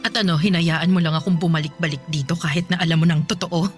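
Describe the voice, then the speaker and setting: A woman's voice: deep voice, fairly even intonation, quick pace, soft background music, natural voice. A woman playing a betrayed wife in a Filipino radio drama, bitter, fierce and accusing as she confronts a rival. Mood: indignant.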